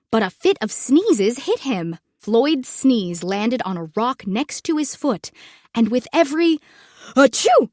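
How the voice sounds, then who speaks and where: Lady reading a kids book out loud, nice and slow, voice goes up and down a lot. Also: fairly high pitch, dry recording, studio quality. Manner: expressive, measured read-aloud for young children. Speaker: storyteller reading a children's book aloud. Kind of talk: scripted children's storybook narration.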